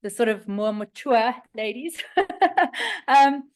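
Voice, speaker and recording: A woman in her fifties with a South African accent, raspy from a recent flu, giving a personal testimonial. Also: fairly high pitch, slow pace. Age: 50s. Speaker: community member giving a testimonial.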